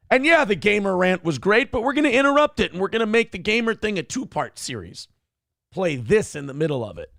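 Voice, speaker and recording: Gravelly, animated male show host. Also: sits high, no room sound, clean studio sound. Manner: rambling, exasperated, reads donor messages. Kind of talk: profane casual banter.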